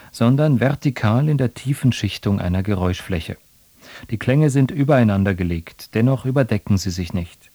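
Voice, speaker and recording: Guy doing a scripted radio intro, formal and measured, relaxed dark tone, no room sound at all. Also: mid-range voice, no room sound. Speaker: radio announcer introducing a sound art piece.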